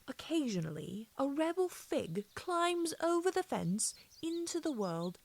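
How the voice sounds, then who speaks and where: Higher-pitched woman reading a storybook. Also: darkish tone. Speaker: audiobook reader. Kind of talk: scripted storybook narration of a children's classic.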